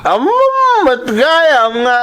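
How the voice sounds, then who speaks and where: A man's voice: extremely high pitch, slow pace, sharp tone, full character voice. A man with an Ilocano accent acting a drunk, domineering young husband in an audio drama, drawling and belligerent. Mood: drunk, dismissive.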